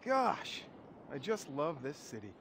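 A man's voice: fairly high pitch, wildly swooping pitch, slow pace, fairly bright tone, a little hiss or hum, heavily acted. A man playing a naive, earnest, melodramatic young protégé in a satirical video game film. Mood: sincere. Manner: wide-eyed, overwrought, melodramatic.

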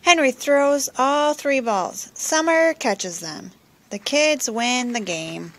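A woman reading a children's picture book aloud in simple, expressive phrases for young listeners, recorded at home on a phone. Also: sits high, slow pace. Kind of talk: children's storybook read-aloud. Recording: noisy home recording with clicks.

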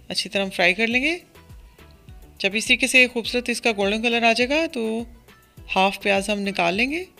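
Bright-voiced woman explaining a recipe. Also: medium pitch. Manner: steady step-by-step instruction, addresses viewers as friends. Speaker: cooking channel host. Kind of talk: recipe voice-over.

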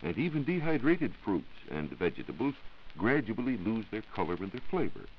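A man's voice: on the low side, very animated, a little fast, highs cut off, slight background noise. An American man explaining formally as a science reporter in a scripted 1940s radio broadcast on an old recording.

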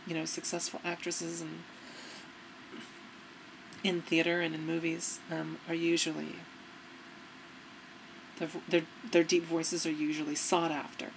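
A young woman talks into her webcam in a dim room, her voice a touch low and noticeably gravelly. She gives earnest, relaxed advice in a casual vlog manner, with faint hiss in the background. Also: a little slow, pauses often, poor audio. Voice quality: fairly deep, gravelly. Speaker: vlogger.

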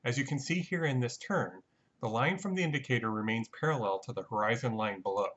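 A man giving a measured, matter-of-fact scripted technical narration as an explainer. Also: medium pitch, fairly bright tone, highs cut off. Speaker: narrator of a flight reconstruction.

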